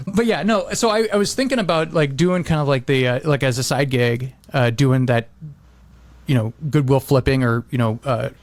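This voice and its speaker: A man chatting casually as a podcast co-host, telling stories in a rambling, halting way with many repeats. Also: mid-range voice, no room sound. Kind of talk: casual chat, rambling anecdotes.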